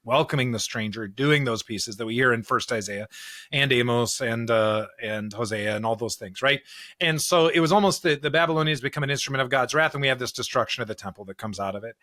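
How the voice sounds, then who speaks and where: Man, bright crisp-edged tone, mid pitch, podcast co-host, conversational explainer, frequent tag questions, dry sound. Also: dry recording. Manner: animated explainer, often checking with "right?". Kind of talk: conversational discussion of scripture and pop culture.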